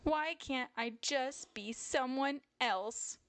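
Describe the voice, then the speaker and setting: A sad young girl bear from a children's storybook, acted out by a woman. The voice is lifted high and childlike. It sounds plaintive and wounded, on the edge of crying. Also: steady tone, slow, creaky, no echo, heavily acted. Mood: self-pitying sorrow.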